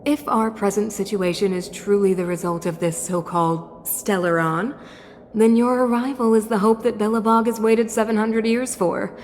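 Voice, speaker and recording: A woman's voice: mid-range voice, steady tone, moderate pace, a little mellow, big-room sound, slight background noise, close to an everyday voice. A woman voicing a dignified, guarded female ruler in a video game, speaking formally and with measure. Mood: gracious, formal. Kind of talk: English video game dub acting.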